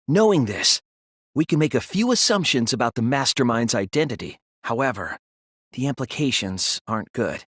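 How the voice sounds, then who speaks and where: A man voicing an earnest, analytical teenage boy detective in an English video game dub, speaking in a deliberate, resolute way. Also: mid-range voice, a bit bright, slightly rough, no echo, studio quality. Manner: deliberate, earnest, explanatory delivery.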